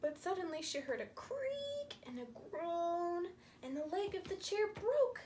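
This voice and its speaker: A woman's voice: extremely high pitch, sing-song, a little mellow, a little hiss or hum. A woman telling a story to young children with puppets, repeating and emphasizing phrases as she explains. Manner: slow, repetitive, emphatic, explaining to small children.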